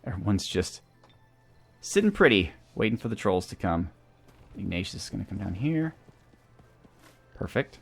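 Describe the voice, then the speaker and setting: A man's voice: fairly low pitch, sing-song, normal speed, creaky, long pauses, slightly rough. A man hosting a gaming channel, talking through a strategy game and thinking aloud as he goes. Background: video game music.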